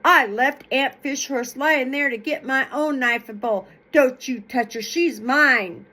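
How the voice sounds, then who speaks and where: A woman's voice: sits high, measured pace, sharp tone, full character voice. A woman voicing a greedy, boastful male wolf in a folktale, possessive and insistent.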